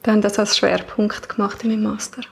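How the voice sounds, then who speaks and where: A woman's voice: medium pitch, level tone, fast talker, creaky, slight background noise. A young woman with a Swiss German accent chatting casually in an interview. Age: young adult. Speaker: new podcast team member.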